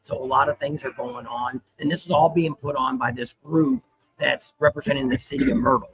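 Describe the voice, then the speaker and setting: Rally livestream host, a man with a slightly raised, hoarse voice, rambling with lots of "uh"s, on dull lo-fi audio. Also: fairly high pitch, expressive, fairly quick, fairly bright tone. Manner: rambling, with frequent "uh" fillers. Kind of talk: casual live chat. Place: restaurant at a motorcycle rally.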